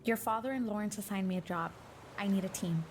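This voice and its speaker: A woman's voice: mid-range voice, quick pace, a little hiss or hum, close to an everyday voice. A woman playing a determined, assured female secret agent in an audio drama, speaking assertively. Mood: guarded, pressing. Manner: assertive, insistent.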